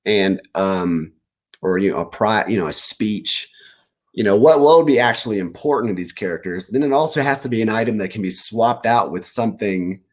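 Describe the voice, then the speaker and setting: A man talking casually as an author guest in an interview, animated and rambling as he pieces ideas together aloud. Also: deep voice. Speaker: children's book author, guest. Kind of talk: casual interview answers about writing.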